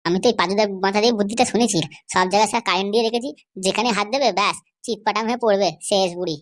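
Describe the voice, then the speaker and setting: Cartoon villain role: cocky, vengeful kidnapper voiced by a woman, medium pitch, torrential argumentative delivery. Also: racing, natural voice. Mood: boastful, vengeful. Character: boastful, vengeful male kidnapper in a comedy cartoon. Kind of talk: amateur cartoon comedy dubbing.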